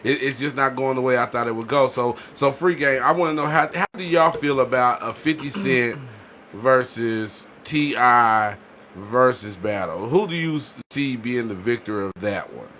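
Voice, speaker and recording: A bright, cutting male voice with mid-range pitch hosts a casual podcast. He talks in slang, states his opinions firmly and often checks in with "you know". There is faint noise behind him. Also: moderate pace. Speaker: podcast host.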